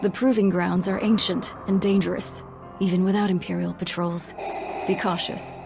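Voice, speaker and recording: A stern, diplomatic stateswoman, formal and composed, with a noticeable creak in her mid-pitched voice. Music plays behind this game dialogue. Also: flat delivery, normal speed. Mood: grave. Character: composed, stern female government official. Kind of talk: video game cutscene acting.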